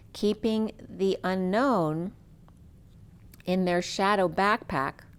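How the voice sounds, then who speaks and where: A middle-aged woman giving a spiritual tarot reading in a slow, dreamy, free-associating way, her voice a little worn as she is losing it. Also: mid-range voice. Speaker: tarot reader.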